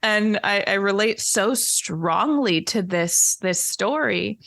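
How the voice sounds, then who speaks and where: A woman's voice: mid-range voice, animated, slow, vocal fry, fairly bright tone, no room sound. A woman chatting casually and emphatically as a podcast guest, full of enthusiasm. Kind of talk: casual chat.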